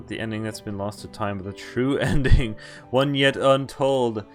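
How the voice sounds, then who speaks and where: A man's voice: medium pitch, takes it slowly, soft background music. A man streaming a visual novel, reading the text aloud and breaking off into casual asides. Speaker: game streamer.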